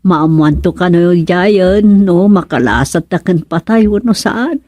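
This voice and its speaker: A woman's voice: on the low side, lively intonation, unhurried, warm tone, no echo. A woman playing a worried, devout older village mother in an Ilocano radio drama, exclaiming and lamenting with an Ilocano Filipino accent. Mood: anxious, sorrowful.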